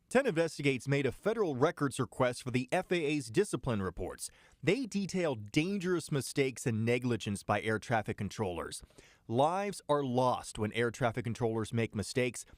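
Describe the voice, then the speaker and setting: A man's voice: on the high side, no echo. An American man narrating a scripted investigative TV news report, recorded as a close studio voice-over. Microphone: close studio voice-over microphone.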